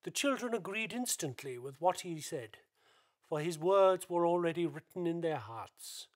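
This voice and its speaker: A man narrating a scripted radio play as a deliberate, dramatic storyteller. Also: fairly high pitch, lively intonation.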